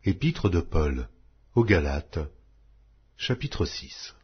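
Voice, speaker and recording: A man reading scripture aloud in a slow, solemn, measured way. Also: low voice, big pitch swings, breathy edge, muffled recording. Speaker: Bible reader.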